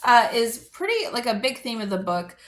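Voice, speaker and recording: A woman's voice: on the high side, sing-song, moderate pace, a bit bright. A woman talks about books in a casual, chatty way, as the host of her own video. Her delivery is informal and conversational, with little asides along the way. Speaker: book review video host. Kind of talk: casual chatty book discussion.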